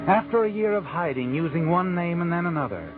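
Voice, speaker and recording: A man's voice: fairly high pitch, expressive, fairly quick, close to an everyday voice. A man playing a mysterious, knowing suspense narrator in an old radio drama, speaking in insinuating questions straight to the guilty one. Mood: ominous, taunting. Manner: ominous, insinuating rhetorical questions in second person. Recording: tinny old radio broadcast, music behind.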